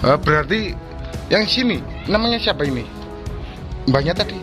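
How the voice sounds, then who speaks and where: A man hosting a casual vlog outdoors, asking quick questions and repeating the answers back. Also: high-pitched, lively intonation. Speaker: vlog host. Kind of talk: casual field vlog interview. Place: outdoors at an old graveyard. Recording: music bed under the talk.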